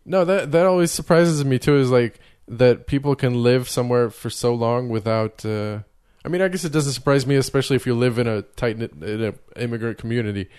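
A man's voice: medium pitch, a little fast, few pauses, darkish tone, clean tone. A man, chatting casually and rambling, often restarting and chuckling as he goes. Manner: rambling, self-interrupting, chuckling. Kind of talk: casual chat.

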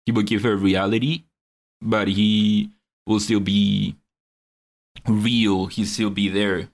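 A man's voice: lowish voice, little pitch movement, slow. A young man co-hosting a podcast in casual chat, earnestly explaining a film's story at length. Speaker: podcast co-host.

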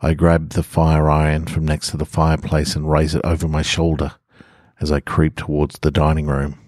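Very deep-voiced Australian man. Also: monotone, moderate pace, darkish tone, dry recording, studio quality. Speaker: podcast host and story reader. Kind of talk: casual podcast introduction, then first-person horror story narration. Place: garage.

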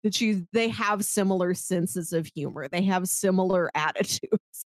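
A woman's voice: lowish voice, moderate pace, no room sound, clean studio sound. A woman co-hosting a podcast, talking informally in a casual discussion of films. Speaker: podcast co-host.

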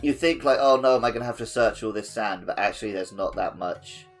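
A man's voice: on the low side, a little fast, soft background music. A man co-hosting a gaming podcast in casual, conversational talk. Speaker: podcast co-host and editor.

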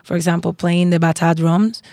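A woman's voice: lowish voice, fairly even intonation, brisk, dark tone, dry recording, clean studio sound. A woman musician from Cuba, explaining thoughtfully in a casual interview.

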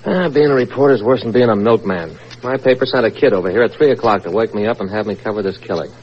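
An actor playing a weary, nosy newspaper reporter with a sardonic, slangy manner in an old-time radio mystery. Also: male voice, on the low side, fast, slightly rough. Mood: weary grumbling. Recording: muffled vintage radio recording.

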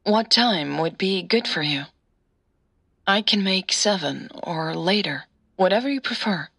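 A woman voicing a polite, hesitant, apologetic female phone caller in an audio drama, speaking softly. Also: on the low side, animated, unhurried, gravelly, slightly rough, dry recording, studio quality, exaggerated voice. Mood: accommodating. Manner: hesitant, apologetic, soft-spoken.

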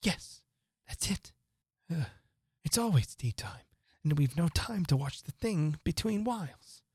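A woman voicing an excitable, mournful male hatter who rambles theatrically. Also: sits low, slightly rough, no echo, studio quality, pitched above the performer's own voice, full character voice. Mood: whimsical. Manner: theatrical, rapid swings from chatter to gloom.

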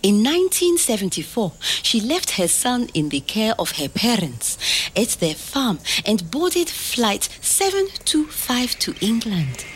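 An older woman telling a family story to a child, slow and expressive, pausing to ask gentle questions. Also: on the high side, a little hiss or hum. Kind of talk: oral history storytelling to a child.

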